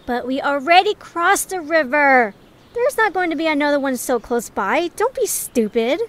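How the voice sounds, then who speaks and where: A scary, abrasive female adventurer, played by a woman, full of biting sarcasm. The voice is high and swoops expressively in audio drama style. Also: lively intonation, normal speed, a bit bright. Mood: sarcastic, scornful.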